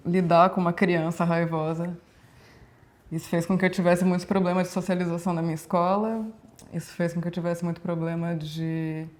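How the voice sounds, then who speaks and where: Young woman from Brazil, performance artist; calm, level, introspective interview voice. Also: fairly low pitch. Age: 20s. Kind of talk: reflective documentary interview.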